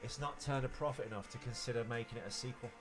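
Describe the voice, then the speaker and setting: A man's voice: mid-range voice, quick pace. Guy on a podcast, explaining his opinions casually, faint music in there. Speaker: podcast co-host. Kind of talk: casual film chat.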